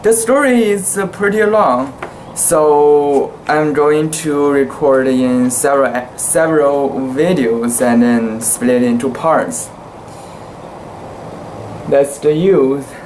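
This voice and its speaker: Slow, halting amateur story reader. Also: mid-range voice, a little mellow, slight background noise, poor audio. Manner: halting, careful word-by-word delivery with stumbles. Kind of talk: dramatic reading of a literary short story.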